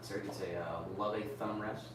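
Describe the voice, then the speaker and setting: A man's voice: on the low side, a little fast. Laid-back man reading stream chat. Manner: relaxed, reads viewer comments aloud. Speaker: livestream co-host reading chat. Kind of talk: casual livestream chat. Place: pottery studio. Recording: echoey room, mic at a distance, noisy.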